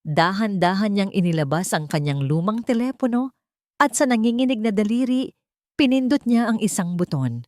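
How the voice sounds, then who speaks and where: A woman's voice: medium pitch, expressive, normal speed, darkish tone, dry recording, clean studio sound. A woman narrating a scripted story in a dramatic style.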